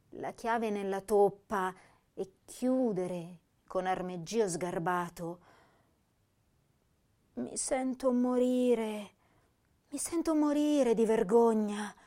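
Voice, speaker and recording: Sad sensitive young woman poet, really slow dramatic verse, lingers on words. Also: fairly high pitch, a little mellow. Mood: yearning, ashamed. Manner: deliberate, lingering, emotive. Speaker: actress reciting poetry. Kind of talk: dramatic poetry recitation.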